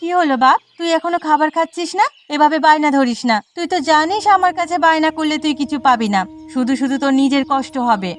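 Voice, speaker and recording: A woman's voice: high voice, fast, few pauses, lightly acted. A woman playing a weary, exasperated village mother in a cartoon, pleading and scolding. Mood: coaxing. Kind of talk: cartoon voice acting.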